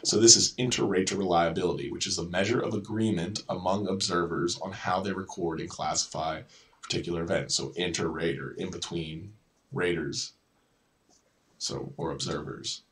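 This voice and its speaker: A man's voice: low-pitched, steady tone, normal speed, a bit bright, recorded from a distance, low-quality recording. A man presenting an educational video, reading lesson notes in an informal way and often correcting himself with casual asides. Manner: informal, self-correcting, repeats words.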